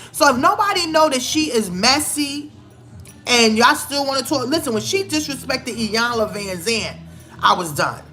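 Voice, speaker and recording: A woman's voice: high voice, sharp tone. A woman giving blunt, scolding celebrity gossip commentary in a vlog, with emphatic outbursts. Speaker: vlogger.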